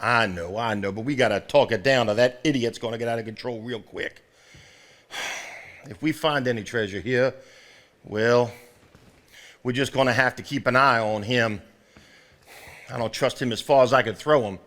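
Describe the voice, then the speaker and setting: An actor playing a gruff, skeptical country man in a live stage play, with folksy, dismissive phrasing. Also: medium pitch, moderate pace, long pauses, a bit bright, close to an everyday voice. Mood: distrustful.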